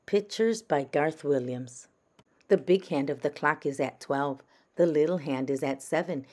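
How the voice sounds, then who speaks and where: A woman narrating a children's storybook aloud in a measured, gentle manner. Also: deep voice. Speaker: storybook reader.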